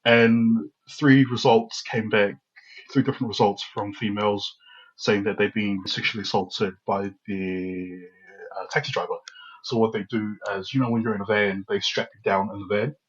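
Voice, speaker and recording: A man's voice: on the low side, normal speed, slightly rough, muffled recording. A young man from New Zealand, a podcast guest telling stories casually and acting out what people said. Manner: lively storyteller who acts out his own quotes. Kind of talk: casual storytelling interview.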